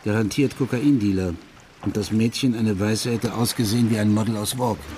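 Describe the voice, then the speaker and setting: A man's voice: on the low side, steady tone, a little fast, a little mellow, slight background noise, close to an everyday voice. An actor playing a wry, laid-back Dutch ex-police detective telling his tales in a radio crime drama. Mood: dry irony.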